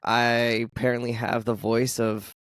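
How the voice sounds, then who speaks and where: A man in his forties hosting a casual podcast chat, his voice hoarse from coughing, joking and laughing often. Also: medium pitch, little pitch movement, a little slow, a bit bright, dry recording, clean studio sound. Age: 40s. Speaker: podcast host.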